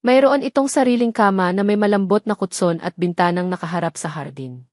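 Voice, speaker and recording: A woman delivers scripted story narration in a dramatic audio format. Her pitch sits in the middle range, and the sound is dry, with hardly any room on it. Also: a little fast, darkish tone, no echo.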